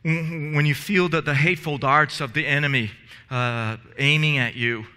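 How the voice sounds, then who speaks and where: Earnest bright-voiced pastor in a church. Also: male voice, a little high, steady tone, normal speed, clear, resonant tone, in a large hall. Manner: earnest, gesturing, many restarts and repeated words. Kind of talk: sermon. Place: church sanctuary in front of stage steps. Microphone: headset mic.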